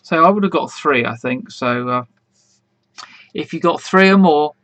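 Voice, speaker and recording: A man hosting an online quiz in a casual, rambling way, full of fillers, with a slightly croaky voice. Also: on the high side, lively intonation, moderate pace, fairly bright tone, no echo, lo-fi sound. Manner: chatty, rambling, many fillers and restarts. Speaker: quiz host.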